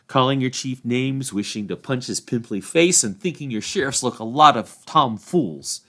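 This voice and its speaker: A man's voice: medium pitch, normal speed, a bit bright, voice pushed up. A man reading a storybook, voicing a loyal, hot-tempered rustic young hobbit who scolds bluntly in folksy speech. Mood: cheeky.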